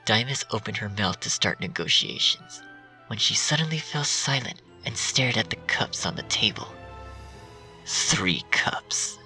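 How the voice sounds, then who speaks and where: A man reading a dark fan story aloud in a hushed, half-whispered way. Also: fairly low pitch, expressive, normal speed. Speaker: fanfiction reader. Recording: distant mic with music bed.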